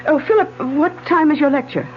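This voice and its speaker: An actress playing an excitable, emotional woman in an old radio drama, with a breathy, exclaiming manner. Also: high-pitched, brisk, a little hiss or hum, close to an everyday voice. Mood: hostess. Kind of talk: 1970s radio drama acting. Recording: dull lo-fi old radio broadcast.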